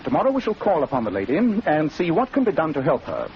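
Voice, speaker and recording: A man playing a shrewd, commanding British gentleman detective in 1940s radio acting, crisp, authoritative and dramatic. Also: on the high side, huge pitch swings, fast talker. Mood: grave resolve. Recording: muffled, noisy old radio recording.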